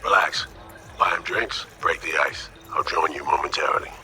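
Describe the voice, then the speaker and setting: Very deep, forceful male advisor. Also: fairly even intonation, normal speed, distant mic, faint music behind, heavily acted. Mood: calm, assured. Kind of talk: video game cutscene acting.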